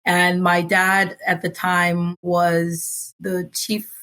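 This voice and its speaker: A woman's voice: on the low side, monotone, pushed and bright. Earnest woman with a light Yoruba-tinged American accent. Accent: American English with a light Yoruba tinge. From Nigeria. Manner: earnest, reflective, laughs easily, slows to stress points. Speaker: guest author. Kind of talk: relaxed podcast interview.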